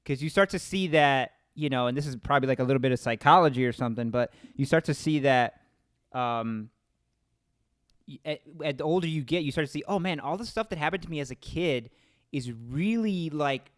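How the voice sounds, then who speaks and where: A man's voice: a little high, a little fast, dry recording, clean studio sound. An American man in his thirties interviewing someone casually, informal and emphatic, often seeking agreement with "right?" and "you know". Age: 30s. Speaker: interviewer, host.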